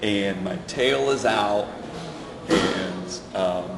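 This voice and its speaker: A man playing a tabletop game, describing his character's actions haltingly, with many fillers. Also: lowish voice, creaky, reverberant, in a large hall, very noisy. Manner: halting, full of fillers and restarts. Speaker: player.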